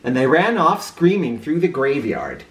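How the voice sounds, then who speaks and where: Low-voiced, very animated woman storyteller. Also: moderate pace, a little hiss or hum. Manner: theatrical, with informal asides to listeners. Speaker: read-aloud storyteller. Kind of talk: expressive children's story narration.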